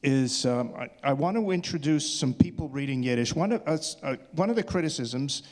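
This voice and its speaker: Male filmmaker in Q&A, medium pitch, informal, halting and repetitive phrasing. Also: lively intonation. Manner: hesitant, repeats words while thinking. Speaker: filmmaker answering audience questions. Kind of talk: informal question-and-answer talk.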